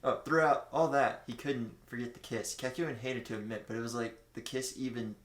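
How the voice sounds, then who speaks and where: A man's voice: medium pitch, fairly even intonation, fairly quick. A man casually reading a story aloud, mixing amateur narration with banter. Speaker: co-host reading fan fiction aloud. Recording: roomy, echoing room sound.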